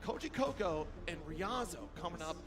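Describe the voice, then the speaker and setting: A man giving animated, conversational live commentary on a fighting-game tournament. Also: high voice, moderate pace. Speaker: esports commentator. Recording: loud music under broadcast commentary. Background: loud music.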